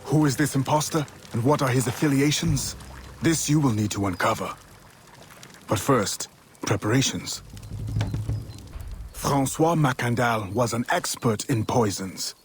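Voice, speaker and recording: A man playing a grave mentor in a video game, with a very husky, breathy voice and a measured, instructive manner. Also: medium pitch, slight background noise, close to an everyday voice. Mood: stern, instructive. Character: grave male mentor briefing his assassin pupil.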